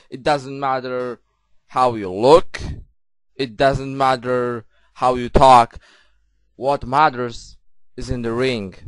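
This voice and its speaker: Slow boastful Polish-accented man. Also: medium pitch, no echo, low-quality recording. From Poland. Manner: repeats "you see", confident and boastful. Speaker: wrestler cutting a promo. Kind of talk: boastful wrestling promo.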